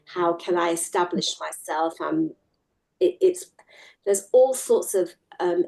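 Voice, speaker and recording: Low, mellow-voiced woman explaining steadily. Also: animated, slow pace, vocal fry. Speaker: mental health worker.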